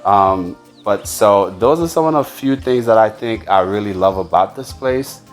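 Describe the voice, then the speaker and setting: A man from the United States hosting a casual farm tour, explaining things in a halting way with repeated words. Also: fairly low pitch, soft background music. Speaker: farm owner and video host.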